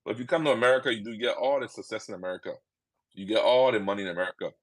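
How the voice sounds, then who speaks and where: A man with a Liberian accent, talking casually to his viewers and acting out quick back-and-forth exchanges. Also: lowish voice. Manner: animated, acts out quick dialogues in put-on voices. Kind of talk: casual monologue to camera.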